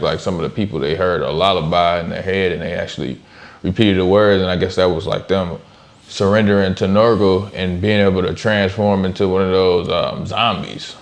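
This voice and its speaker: A man with a very low voice gives casual commentary as a reaction co-host. He talks informally with slang, thinking aloud as he makes his points. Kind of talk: casual chat, off-the-cuff commentary.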